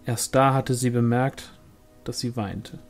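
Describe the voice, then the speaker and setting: A man's voice: medium pitch, steady tone, takes it slowly, soft background music. A man reading a novel aloud as scripted audiobook narration. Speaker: audiobook reader.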